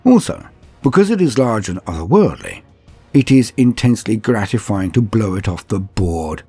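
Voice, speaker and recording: A man's voice: lowish voice, sing-song, normal speed, dark tone, husky, dry recording, faint music behind. A man hosting and narrating scripted fantasy wargame lore in a theatrical, florid, formal manner. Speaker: host and narrator of a tabletop wargame lore channel.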